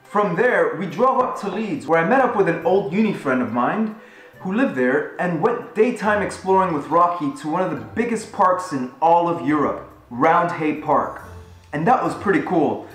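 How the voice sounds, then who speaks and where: A man's voice: fairly high pitch, animated, normal speed, fairly bright tone, roomy sound, soft background music, rough recording. A man in his thirties, a travel vlogger, chatting casually and upbeat while he narrates a road trip from his living room. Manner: upbeat and conversational. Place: living room at home, indoors.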